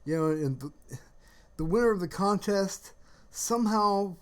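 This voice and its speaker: A man chatting casually on a livestream in a halting, rambling way, often searching for words. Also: sits high, lively intonation, smooth. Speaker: live streamer.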